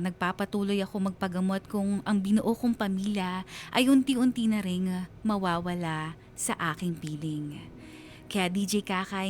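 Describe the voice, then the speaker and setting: A man's voice: sits high, close to an everyday voice. A sorrowful Filipino husband, acted by a man tells of being abandoned, in the manner of radio drama narration. There is slight noise behind the voice.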